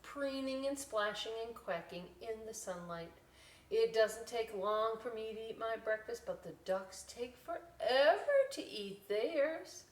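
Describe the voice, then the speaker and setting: A middle-aged woman reading a children's picture book aloud in an expressive, folksy way, pausing for chatty, simple explanations. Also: a little high. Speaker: library storytime host reading aloud. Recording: roomy echo, distant microphone.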